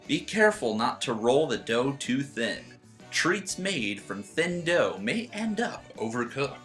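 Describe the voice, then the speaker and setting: Lively male voice-over narrator. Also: mid-range voice, measured pace. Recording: voice-over with faint background guitar.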